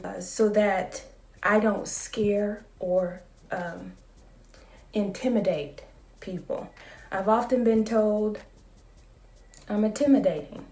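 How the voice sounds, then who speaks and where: A woman in her late thirties, a school psychologist, talking in a soft, calm and measured way in a casual vlog. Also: medium pitch, roomy sound, distant mic. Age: 30s.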